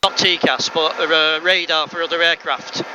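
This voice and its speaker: A man's voice: fairly high pitch, steady tone, normal speed, over a noisy crowd. A man in a small plane chatting casually and asking questions as a passenger over a headset. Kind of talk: casual chat. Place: small aircraft cockpit in flight. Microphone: aviation headset. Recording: muffled noisy light-aircraft headset intercom. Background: engine and cabin noise.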